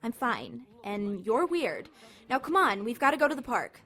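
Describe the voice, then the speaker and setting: A sarcastic, lovesick teenage girl from an audio drama. Her voice is high and swings widely, with theatrical flair as she needles everyone around her. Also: sing-song, normal speed, fairly bright tone, soft background music. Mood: coaxing.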